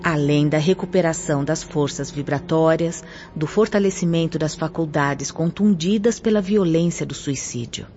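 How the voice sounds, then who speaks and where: An actress plays a solemn female spirit teacher in a radio drama. Her voice is dark and mellow, her delivery grave, didactic and declamatory. The recording is muffled, with faint music underneath. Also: sits low, lightly acted. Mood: grave, instructive. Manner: solemn, didactic, measured emphasis. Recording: muffled lo-fi audio with music behind. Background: background music.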